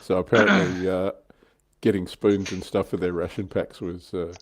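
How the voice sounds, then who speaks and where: A man with a low voice chats informally as a livestream co-host. He speaks conversationally, relaying comments and introducing what comes next. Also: flat delivery, normal speed. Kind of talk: casual chat, reading viewer comments.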